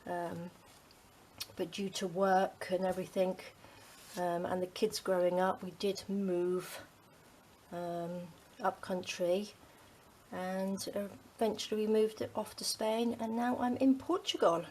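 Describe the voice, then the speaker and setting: A middle-aged woman from the UK, chatting casually to her craft channel, rambling with many ums and dropping to a soft near-whisper as she works. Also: mid-range voice, flat delivery, slow pace, slight background noise. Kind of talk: casual chat while crafting.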